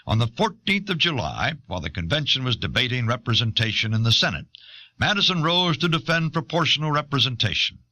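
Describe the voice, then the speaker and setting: A man narrating a scripted documentary in a measured, formal, explanatory manner, on an old audio cassette. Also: mid-range voice, pushed and bright.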